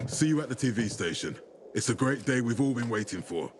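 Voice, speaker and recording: A man's voice: mid-range voice, lively intonation, a little fast, noisy background, lightly acted. An actor playing a stern, hot-tempered male military commander giving curt orders. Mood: commanding.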